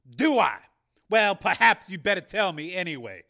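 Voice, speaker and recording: A man reading an audiobook voices a calm, wry, manipulative male politician. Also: high voice, moderate pace, creaky, pitched above the performer's own voice, exaggerated voice. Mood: calmly menacing.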